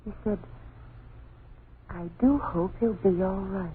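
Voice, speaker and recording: An actress playing an anxious, gentle young wife and mother in an old-time radio drama, speaking in a hesitant, worried way. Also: medium pitch, slow, natural voice. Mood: pensive. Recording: tinny, noisy old radio recording.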